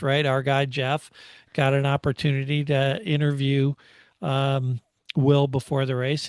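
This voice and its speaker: A man's voice: mid-range voice, no echo. A man co-hosting a sports podcast, recapping events in a casual, conversational way with tag questions. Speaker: motorsport podcast co-host. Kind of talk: casual race recap discussion.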